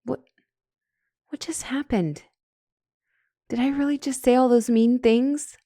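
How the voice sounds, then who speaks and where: A woman's voice: fairly high pitch, fairly even intonation, takes it slowly, mellow, no echo, studio quality. A woman acting as a young, brilliant couples therapist in a comedic audio roleplay, professional but easily flustered. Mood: apologetic.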